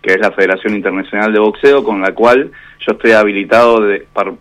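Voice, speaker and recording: Argentine male radio guest, low-pitched, unhurried, full of fillers. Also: fairly even intonation, fairly bright tone, rough recording. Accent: Argentine Spanish. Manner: measured, hesitant, many fillers. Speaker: boxing referee guest. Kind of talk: phone interview on radio. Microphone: phone line.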